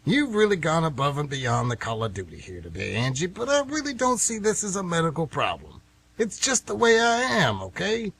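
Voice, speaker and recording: Man acting a husky, grumbling older baseball diehard. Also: on the high side, huge pitch swings, normal speed, a bit bright, heavily acted. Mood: reluctant, wistful. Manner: folksy, defensive, exclamatory. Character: stubborn, grumbling retired man of fifty-six, aggrieved baseball fan. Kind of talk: amateur radio theatre acting.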